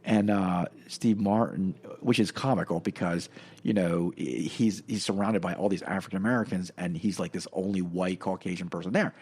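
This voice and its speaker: Middle-aged man, Korean native, low voice. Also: steady tone, moderate pace, a little mellow, husky. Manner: rambling, many fillers and restarts, chuckles. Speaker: podcast guest. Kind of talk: casual personal storytelling.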